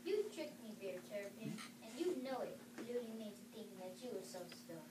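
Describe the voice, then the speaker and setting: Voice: mid-range voice, animated, brisk. A young student reading a part aloud in a class readers theatre performance. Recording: distant, echoey, noisy classroom recording.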